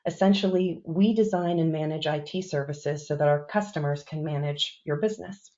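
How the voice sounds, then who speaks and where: A woman with a low, mellow voice presents her company and welcomes the panelists, polished and upbeat, leaning on her key words. The recording is slightly dull and lo-fi. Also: normal speed. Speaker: panel moderator. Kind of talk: corporate presentation and moderation.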